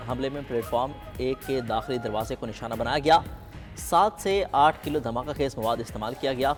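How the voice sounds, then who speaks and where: A man with a Pakistani accent delivering scripted headlines as a news anchor. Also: medium pitch. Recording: long reverb with music underneath. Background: music bed.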